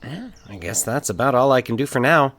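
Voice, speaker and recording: A man's voice: medium pitch, lively intonation, brisk, fairly bright tone, slight background noise, voice pushed up, natural voice. A man voicing a grieving man who talks to himself, wistful but resolute, in an audio story. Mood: musing, resolute. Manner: muttering, musing to himself.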